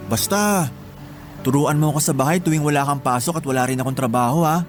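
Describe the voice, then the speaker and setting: A man's voice: fairly high pitch, fairly quick, faint music behind, lightly acted. A Filipino man playing a caring, playful younger brother in a radio drama, affectionate and teasing.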